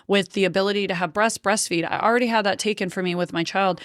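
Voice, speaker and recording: A woman's voice: mid-range voice, fairly even intonation, fast talker, dry recording, studio quality. A woman in her late thirties telling her story on a podcast in a blunt, profane way, laughing often. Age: 30s. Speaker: podcast host. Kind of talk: casual storytelling chat.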